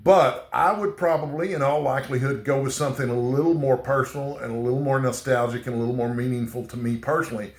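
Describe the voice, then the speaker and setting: A man's voice: medium pitch, fairly even intonation, reverberant. Guy with a bit of a texan accent rambling casually to the camera, keeps saying okay. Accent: slight Texan. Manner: rambling, repeats "okay?" often, halting restarts. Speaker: booktuber.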